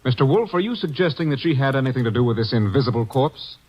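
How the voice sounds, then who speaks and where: A man's voice: mid-range voice, animated, quick pace, close to an everyday voice. An actor playing a stammering male murderer who turns nasty, in 1940s radio acting. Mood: nervous. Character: nervous scheming male murderer turning nasty. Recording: dull old radio broadcast recording.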